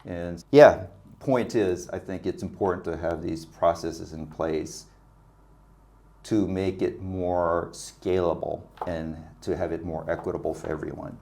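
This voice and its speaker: Very low-voiced man telling work stories. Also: a little slow, gravelly. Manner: animated, laughs often, acts out quoted dialogue. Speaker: guest, business consultant. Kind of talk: casual interview storytelling.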